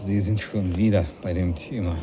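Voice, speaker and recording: An actor playing a stubborn, pious, hot-tempered ship's captain in a radio drama, blustering and emphatic. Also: male voice, sits low, steady tone, moderate pace, mellow, slight background noise, close to an everyday voice. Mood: subdued, defiant. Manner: blustering, emphatic, short exclamations.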